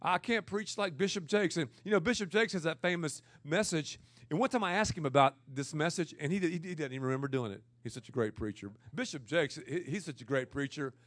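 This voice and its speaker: A pastor with a naturally loud voice who teaches with energy and repeats words for emphasis. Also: male voice, a little high, fairly quick, dry recording. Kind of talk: energetic teaching talk to church leaders.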